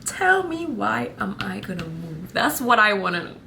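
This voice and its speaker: A woman's voice: mid-range voice, very animated, normal speed, gravelly, a little hiss or hum. Sassy Trinidadian woman vlogging. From Trinidad and Tobago. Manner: chatty, sassy, rambling, often says honey and y'all. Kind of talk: casual chat to camera.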